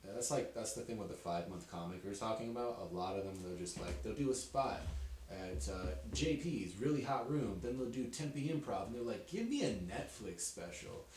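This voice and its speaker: A young man hosting a casual chat, relaxed and full of fillers like "like" and "you know". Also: on the low side, brisk. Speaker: podcast host, comedian. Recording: echoey room, faint hiss.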